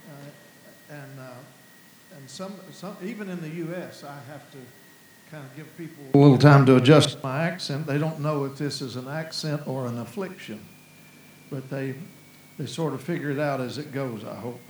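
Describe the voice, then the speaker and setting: A man with a Southern American accent preaching a sermon in a folksy, rambling way, restarting phrases and filling pauses. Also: mid-range voice, a little mellow, slight background noise. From Southern United States. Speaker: guest preacher. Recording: echoey hall with faint ambience.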